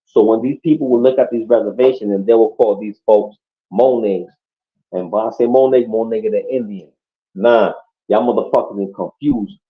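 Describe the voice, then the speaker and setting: A man's voice: lowish voice, normal speed. Profane, emphatic man, muffled lo-fi sound. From Puerto Rico. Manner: profane, emphatic, keeps asking "you know what I mean". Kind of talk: casual podcast talk. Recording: muffled lo-fi audio.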